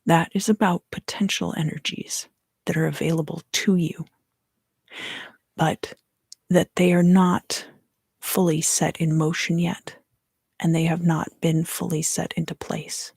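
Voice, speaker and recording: A woman in her thirties gives an ASMR tarot reading in hushed, unhurried, deliberate phrases, close to the microphone. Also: lowish voice, little pitch movement, vocal fry, breathy edge, no room sound. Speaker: tarot reader and ASMR host. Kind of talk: ASMR tarot reading, whispered and softly spoken.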